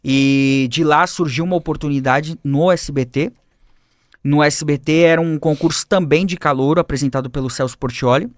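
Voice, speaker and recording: A man telling his life story as a podcast guest, animated and acting out the people he quotes, on a studio mic. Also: fairly high pitch, moderate pace, no echo. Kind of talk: personal storytelling in a podcast interview.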